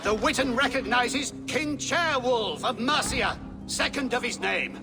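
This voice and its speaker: An actor playing a ceremonial medieval herald in a video game, making a formal proclamation. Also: male voice, very high voice, animated, measured pace, heavily acted. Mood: proclaiming. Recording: music behind the voice.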